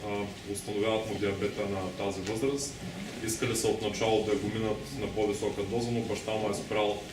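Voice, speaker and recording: Male interpreter, lowish voice, fast, summarizing, echoey hall, noisy. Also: level tone. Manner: quick and summarizing, with filler sounds. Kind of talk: consecutive interpreting. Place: conference hall. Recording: echoey hall, distant mic, noise.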